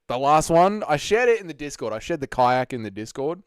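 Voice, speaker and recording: A young Australian man hosting a live stream, chatting with viewers in excitable, slangy, sweary banter. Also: a little high, fairly quick, smooth, no room sound. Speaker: streamer host.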